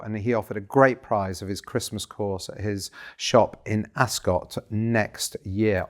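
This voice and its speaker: A man with a croaky voice, chatting through a shop's business update in a self-deprecating way. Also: on the low side, a little mellow. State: croaky voice after a party. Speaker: shop owner hosting a business update show. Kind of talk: casual business update to camera.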